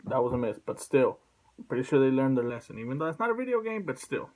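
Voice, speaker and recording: A man speaking casually as a video host, blunt and sweary, in a halting, rambling way. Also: mid-range voice, big pitch swings, darkish tone, poor audio. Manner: blunt, profane. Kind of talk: casual opinion vlog.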